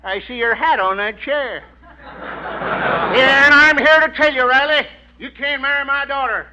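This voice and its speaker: A man's voice: extremely high pitch, unhurried, sharp tone, heavily acted. A man playing a combative ex-cowboy father in an old radio comedy, blustering in folksy Western slang. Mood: blustering, accusing. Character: blustering Wild West ex-cowboy father, quick to threaten. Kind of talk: 1940s radio comedy acting. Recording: muffled old radio recording.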